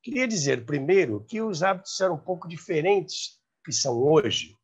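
Male presenter, seventies, Brazilian accent, husky and very lively, recounting history to camera. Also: on the high side, normal speed, lo-fi sound. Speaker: host. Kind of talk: storytelling about radio history, to camera. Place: room by a large window, indoors. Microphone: lapel mic.

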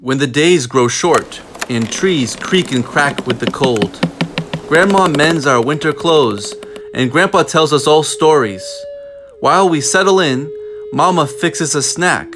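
A man reading a book aloud in a measured, deliberate way, pausing often between phrases. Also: on the high side, animated, noisy background. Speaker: storybook reader. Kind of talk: children's book read-aloud, scripted narration.

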